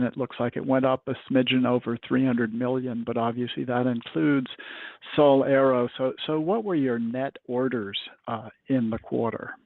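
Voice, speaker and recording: A man's voice: medium pitch, creaky, no echo. A man speaking as a financial analyst, asking conversational questions on an earnings conference call. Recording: tinny, phone-like conference call line.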